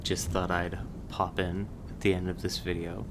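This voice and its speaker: A man speaking casually and haltingly to viewers as the creator of a channel. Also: flat delivery. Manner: hesitant, halting. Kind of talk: casual thank-you message to viewers. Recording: loud rumbling background noise.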